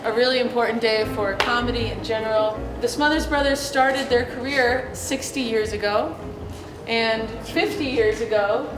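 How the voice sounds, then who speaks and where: A woman's voice: on the high side, low-quality recording. A woman speaking as a museum spokeswoman, delivering prepared public remarks.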